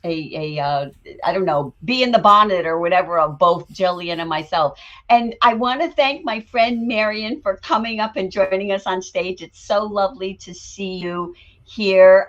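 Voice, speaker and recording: A woman co-hosting a live online talk show, chatting casually and thanking guests warmly. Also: on the low side, highs cut off. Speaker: co-host. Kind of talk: casual chat.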